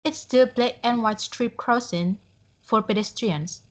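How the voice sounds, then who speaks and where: A woman's voice: a little high, unhurried, lightly acted. A young woman playing a helpful British woman giving directions in a student classroom role-play. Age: young adult.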